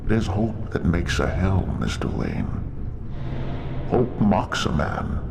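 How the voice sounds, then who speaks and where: An actor playing a menacing masked mastermind in a game, taunting with theatrical, deliberate delivery. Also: male voice, deep voice, vocal fry, noisy background, heavily acted. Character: menacing male masked mastermind villain, coldly taunting a prisoner. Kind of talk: video game cutscene acting.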